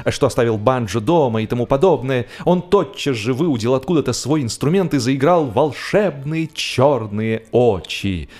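A man narrating a humorous novel expressively, with a theatrical, deliberate delivery that draws out phrases for drama. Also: medium pitch, a little mellow. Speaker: audiobook narrator.

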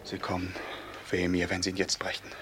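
An actor playing a tormented, brooding robber captain in a classical radio play, with solemn theatrical diction that swings from hushed to outcry. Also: male voice, low-pitched, completely flat delivery, fairly quick, slight background noise. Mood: subdued dread. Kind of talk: classical German radio play acting, declamatory stage diction.

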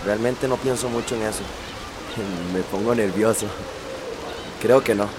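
A man's voice: lowish voice, fairly even intonation, measured pace, noisy background, close to an everyday voice. An actor in a radio drama playing a cheeky, easygoing young man. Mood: hesitant.

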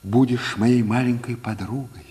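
An actor playing a proud, brooding Italian violinist with theatrical, poetic delivery in a 1950s Soviet radio drama. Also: male voice, mid-range voice, fairly even intonation, measured pace, dark tone, close to an everyday voice. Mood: tender, intense.

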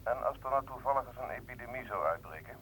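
An actor playing a calm, probing doctor in a radio play. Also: male voice, medium pitch, quick pace, husky, poor audio. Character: calm, probing male doctor sounding out colleague. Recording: old radio play recording, faint noise.

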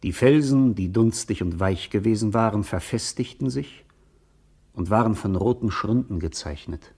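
Husky lowish guy doing solemn poetic radio drama narration, measured and declamatory. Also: a little mellow. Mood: solemn, lyrical.

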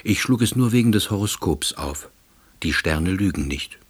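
An actor playing a withdrawn, middle-aged unemployed househusband who narrates a radio play in a dry, ruminative manner. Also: male voice, low voice, animated, moderate pace, slightly rough. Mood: dryly hopeful. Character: withdrawn, long-unemployed East Berlin househusband, quiet ruminator. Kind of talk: radio drama first-person narration.

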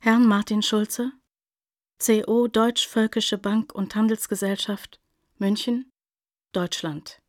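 A woman reading as a formal, detached announcer in a scripted radio play, with deliberate phrasing. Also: medium pitch, fairly even intonation, no room sound, clean studio sound, close to an everyday voice. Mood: neutral, detached. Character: formal female reader of addresses and dates. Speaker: announcer of letter headings.

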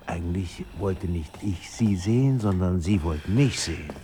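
Low-voiced, uneasy literary man. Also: expressive, mellow, slight background noise. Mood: contrary. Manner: polite, measured, argumentative. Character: restless, uneasy literary man, politely contrary. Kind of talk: literary radio drama dialogue.

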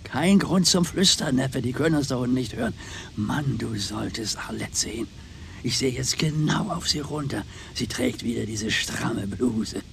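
An actor playing a roguish, chatty older uncle in a radio crime drama, teasing, with florid relish. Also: male voice, mid-range voice, animated, normal speed, gravelly, background noise. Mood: gossipy, amused. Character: roguish, chatty old uncle, womanising bon vivant.

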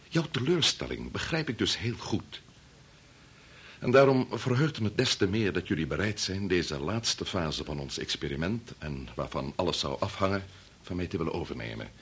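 Deep, grave old doctor. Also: male voice, very animated, fairly bright tone, breathy edge, full character voice. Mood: grave, understanding. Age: older. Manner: measured, formal, authoritative. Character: grave, resolute senior doctor and father leading an experiment. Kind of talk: Dutch radio play acting.

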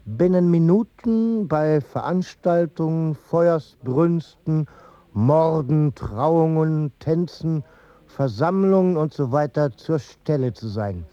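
An actor playing a man soberly recalling past events in measured radio drama narration. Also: on the high side, lively intonation, dry recording, lo-fi sound. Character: male witness soberly recalling a film pioneer.

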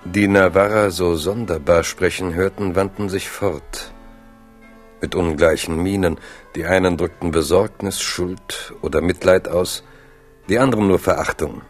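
A man narrating a historical radio play as a measured storyteller. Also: deep voice, fairly even intonation, faint music behind.

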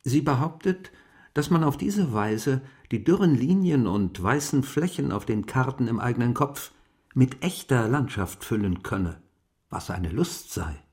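An actor playing an elderly, wistful gentleman diarist in a literary radio play, speaking in a measured, formal and precise way. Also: mid-range voice, animated. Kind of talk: literary radio play monologue, reading diary entries.

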